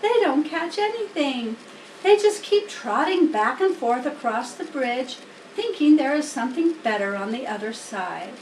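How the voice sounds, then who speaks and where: A woman's voice: sits high, lively intonation, a little slow, darkish tone. A woman voicing a wise, plain-spoken female spider from a children's storybook, matter-of-fact and instructive. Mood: wry, opinionated. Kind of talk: children's storybook character reading. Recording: roomy, distant mic, faint noise.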